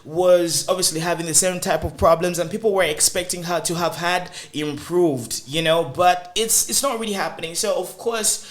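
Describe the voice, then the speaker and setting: Chatty Zambian man hosting, high voice. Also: normal speed, hardly any pauses. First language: Lozi. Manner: chatty, animated, thinking aloud. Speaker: host. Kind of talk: casual podcast discussion.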